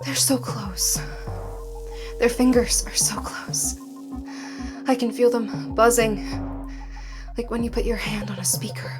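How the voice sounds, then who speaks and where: An actress playing a frightened, awed woman explorer in an audio drama, describing her surroundings in hushed, halting phrases. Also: a little high, steady tone, over loud music, natural voice. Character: frightened woman explorer guided over radio, awed and anxious.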